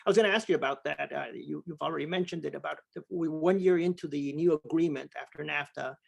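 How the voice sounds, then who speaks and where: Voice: medium pitch, quick pace, creaky, muffled recording. An interviewer asking questions on a video call, encouraging, with frequent affirming murmurs.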